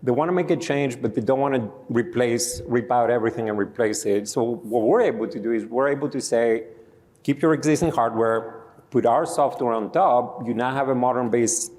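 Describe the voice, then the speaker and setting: A man's voice: mid-range voice, moderate pace, darkish tone. A man from Greece with a Greek accent, a company founder explaining his product and telling a customer story on a conference panel. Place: conference stage. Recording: reverberant conference hall.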